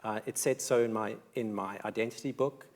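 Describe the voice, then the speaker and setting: Middle-aged male panellist, South African accent. Reflective, measured, earnest delivery for a live debate. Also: lowish voice, fairly even intonation.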